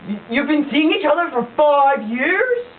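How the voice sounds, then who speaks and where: A woman's voice: high-pitched, big pitch swings, normal speed, far from the mic. A woman voicing a scornful, blustering rich husband in an amateur puppet show, with a sneering, huffy delivery. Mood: incredulous. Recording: tinny lo-fi home recording with hiss.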